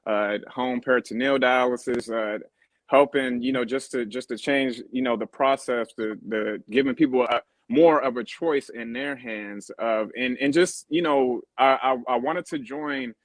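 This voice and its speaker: Guest, man, 30s, halting and filler-heavy, mid pitch, dry close sound. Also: dry recording. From born in Germany on a US military base. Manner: halting, many fillers and restarts. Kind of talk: casual interview talk.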